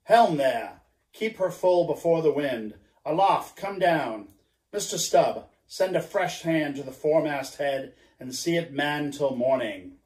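A grim old sea captain, obsessed and commanding, hurls his archaic orders and declarations in a slow, heavy cadence, as a man puts him on for a storybook reading. The voice rings with a roomy echo around it. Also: on the high side, expressive, a little mellow.

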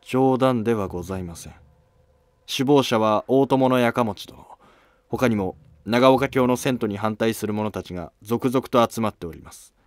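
A man's voice: medium pitch, moderate pace, no echo, lightly acted. A man playing an earnest noble conspirator in a historical drama, speaking in solemn, formal, persuasive phrases. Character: earnest male noble plotting against a rival clan. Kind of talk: scripted historical drama dialogue.

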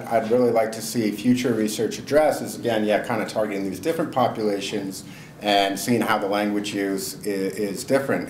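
A man's voice: lowish voice, a little hiss or hum. A middle-aged man answering audience questions after his research talk, casual and thinking aloud with fillers. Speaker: presenter. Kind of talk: academic Q&A answer. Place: seminar room, indoors. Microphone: far camera. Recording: echoey room.

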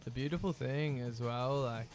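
A man's voice: medium pitch, moderate pace, over music. Laid-back slangy man. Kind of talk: casual chat.

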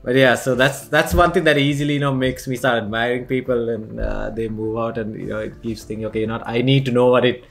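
A young man from Sri Lanka hosts a relaxed podcast chat at a middle pitch. He talks hesitantly, full of fillers and restarts, and laughs easily, with faint music under the voice. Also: fast talker, vocal fry, few pauses. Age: young adult. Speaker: podcast host. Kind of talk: casual interview chat.

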